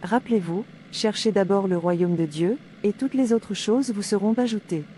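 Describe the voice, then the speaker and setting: A woman voicing a pious, calm young woman in a cartoon drama, preachy and stilted. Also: medium pitch, moderate pace, a little hiss or hum, natural voice. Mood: earnest, admonishing.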